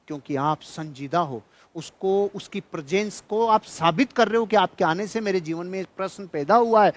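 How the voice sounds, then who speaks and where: A man's voice: high voice, fairly bright tone, dry recording. A man speaking as a motivational trainer, emphatic and colloquial, repeating key phrases and telling stories in a joking way. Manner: jocular, colloquial storytelling. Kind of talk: motivational seminar speech with anecdotes and humour.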